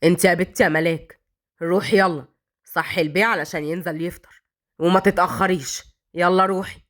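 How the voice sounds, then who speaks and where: A cold, greedy old mother-in-law , as a woman narrator puts her on, barking orders in a lowered, heavy voice. She scolds and threatens in a storybook reading. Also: steady tone, fairly quick, fairly bright tone, close to an everyday voice. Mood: scolding. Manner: bossy, scolding.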